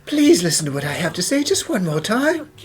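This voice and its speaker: A woman's voice: lowish voice, big pitch swings, a little fast, darkish tone. A woman voicing an earnest, stubborn, lovelorn young man who pleads, in a cartoon fan dub. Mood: insistent. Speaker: voice actor in amateur cartoon dub.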